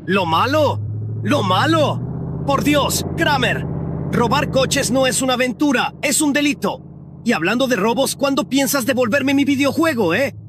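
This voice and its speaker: A man's voice: very high voice, lively intonation, unhurried. A man voicing a furious, exasperated adult cartoon adventurer who scolds indignantly. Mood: outraged. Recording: loud car engine noise behind.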